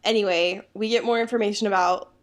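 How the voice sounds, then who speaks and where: A woman's voice: medium pitch, steady tone, fast talker. A woman co-hosting a podcast, chatting casually about a book with sarcastic, exasperated commentary and some swearing. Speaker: podcast co-host. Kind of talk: casual book discussion chat.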